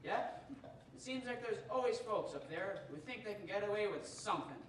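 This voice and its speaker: Folksy, kindly outdoorsman dad played by a young man, radio play, echoey room with clear background noise. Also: high-pitched, animated, a little fast. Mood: kindly, easygoing. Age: young adult. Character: folksy, kindly game warden father. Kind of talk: stage radio-show acting. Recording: echoey stage, distant mic, clear noise.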